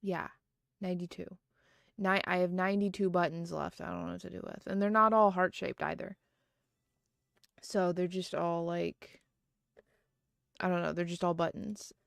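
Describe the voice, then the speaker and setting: A woman's voice: lowish voice, fairly even intonation, normal speed, vocal fry, long pauses, dry recording, studio quality. A woman livestreaming from home, chatting casually and rambling with lots of fillers and restarts. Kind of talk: casual chat.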